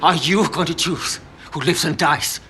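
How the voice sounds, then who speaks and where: A man's voice: fairly high pitch, unhurried, sharp tone, a little hiss or hum. An actor playing a self-righteous scientist cult leader in a video game cutscene, impassioned and defensive as he declaims. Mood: challenging.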